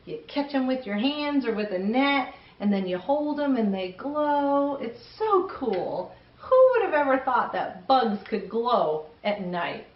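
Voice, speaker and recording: Slow-paced woman reading stories to children. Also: fairly high pitch, big pitch swings, echoey room, dull audio. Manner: enthusiastic. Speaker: children's storytime host and reader. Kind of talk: expressive read-aloud and chat to children.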